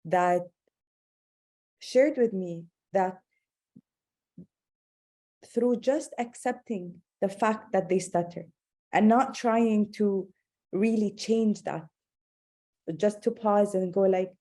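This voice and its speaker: A woman giving an online talk as an advocate, speaking with a stutter and many pauses. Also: mid-range voice, mellow. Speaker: guest speaker, advocate for people who stutter. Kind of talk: webinar talk.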